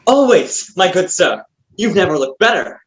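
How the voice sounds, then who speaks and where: A man playing an eager, dim-witted, flattering manservant with a deferential, hesitant manner, in a comic stage play reading. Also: high voice, normal speed. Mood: fawning.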